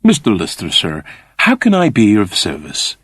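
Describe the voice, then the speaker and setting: A man's voice: fairly low pitch, wildly swooping pitch, normal speed, fairly bright tone, husky, dry recording, clean studio sound, full character voice. A man voicing a polite, fussy, servile robot butler in a sci-fi story, speaking with precise deference. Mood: deferential.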